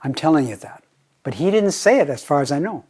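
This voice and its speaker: An older American man giving a Zen dharma talk, playful and deliberate, often slowing down and repeating his phrases. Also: on the high side, very animated, darkish tone, breathy edge. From United States. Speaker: Zen teacher.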